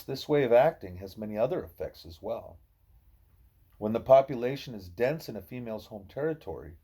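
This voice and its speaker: A man reading a novel of dialogue aloud in a soft, husky voice, with a measured, explanatory and expressive delivery. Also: on the low side. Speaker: audiobook reader. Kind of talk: scripted narration of a novel in dialogue.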